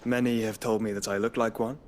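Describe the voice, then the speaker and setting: A man's voice: on the low side, flat delivery, fairly quick, a little hiss or hum, natural voice. An actor playing a resolute, courteous nobleman long thought dead, with polite formal speech in a video game. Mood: sober, courteous. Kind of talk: dramatic video game cutscene acting.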